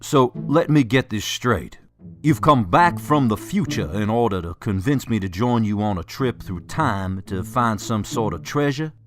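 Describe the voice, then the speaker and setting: Lively, sanctimonious preacher. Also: male voice, fairly low pitch, faint music behind. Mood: skeptical. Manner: sanctimonious, earnest, measured. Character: pious, self-assured male church preacher. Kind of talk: radio drama acting.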